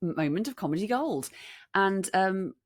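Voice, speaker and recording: A woman's voice: medium pitch, expressive, a little slow. A British woman co-hosting a podcast in casual chat, conversational and a little self-deprecating. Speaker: podcast co-host.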